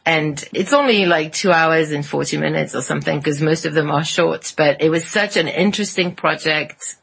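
A woman talks casually as a podcast panelist, sounding enthusiastic about what she describes. Her voice sits quite low and has a slightly husky edge. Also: fairly bright tone, rough recording. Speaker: podcast panelist and podfic narrator. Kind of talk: casual chat about fan fiction.

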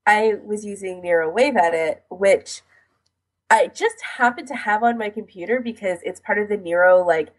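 A woman co-hosting a podcast, talking casually in a halting, self-deprecating manner. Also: mid-range voice, low-quality recording. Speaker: podcast co-host.

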